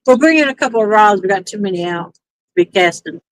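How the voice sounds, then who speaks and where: A woman chatting casually on a pontoon boat while fishing, easygoing and quick to laugh. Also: medium pitch, animated, no echo, rough recording. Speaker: fishing streamer. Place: outdoors on a pontoon boat on a lake.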